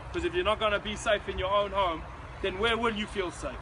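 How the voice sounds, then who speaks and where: A man's voice: sits high, fairly even intonation, brisk. Middle-aged South African man, earnest, emphatic motivational speaker; noisy outdoor recording. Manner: earnest, emphatic, acts out quoted shouting. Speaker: speaker addressing a visiting group. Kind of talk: motivational speech.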